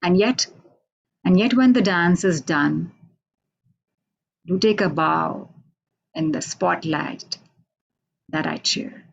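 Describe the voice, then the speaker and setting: A woman from India with an Indian accent, a Hindi native speaker, giving a halting, unhurried poetry reading over a video call from a radio station office. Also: lowish voice, vocal fry, husky, muffled recording. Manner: unhurried, halting, with many fillers. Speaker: poet.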